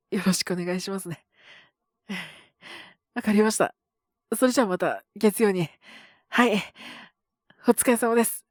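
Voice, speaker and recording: An earnest young male junior coworker, acted by a man, speaks slowly in a close, dry recording. He is ill with a cold, coughing and sighing, and stays polite and humble, as in an intimate voice drama. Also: high voice, no echo. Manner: polite and deferential on the phone, sighing, murmuring to himself. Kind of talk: Japanese situation voice drama, intimate solo acting.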